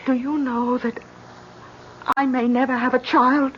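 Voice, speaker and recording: Haunted young wife: high voice, bitter, melodramatic, urgent, dull old radio sound. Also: female voice, level tone, measured pace, slightly rough. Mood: ominous, insistent. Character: bitter, frightened, superstitious young wife obsessed with vampires. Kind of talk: 1970s radio drama acting.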